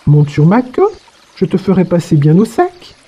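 A sly storybook fox, as a woman narrator puts him on, coaxing and wheedling. The tone is dark and husky, with a rough, breathy edge and pitch that swings up and down as he sweet-talks. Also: big pitch swings, moderate pace. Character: sly smooth-talking fox.

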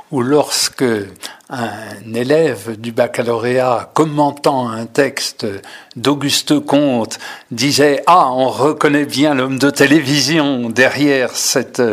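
A man interviewing a guest, asking long questions in a measured, formal way. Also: medium pitch. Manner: measured, elaborate phrasing. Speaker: radio interviewer.

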